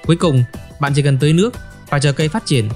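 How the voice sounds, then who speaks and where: A man narrates as host of a gardening advice segment. His voice sits a little high and his delivery is scripted, orderly and instructional. Faint music plays behind him. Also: fast talker, a little mellow.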